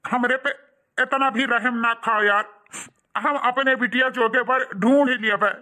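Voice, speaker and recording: A man's voice: very high voice, fairly even intonation, unhurried, sharp tone, exaggerated voice. A man voicing a humble, injured village father in a cartoon, with an Awadhi accent and a sentimental, pleading delivery. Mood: reluctant, humble.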